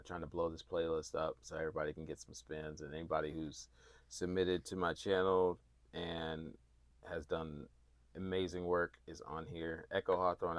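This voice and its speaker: A man from North Carolina hosting a music review livestream, chatting casually in a rambling, halting way and repeating words as he goes. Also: low voice, a bit bright, dry recording. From North Carolina, United States.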